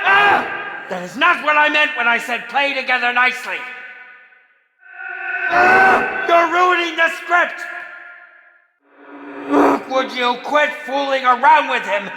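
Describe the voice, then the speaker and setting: An actor playing a theatrical, impatient and sinister film director in a video game, with a hammy, bossy, sarcastic manner. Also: male voice, extremely high pitch, expressive, a little slow, pauses often, heavily acted. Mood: exasperated, commanding. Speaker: game villain and announcer. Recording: echoey hall reverb with music.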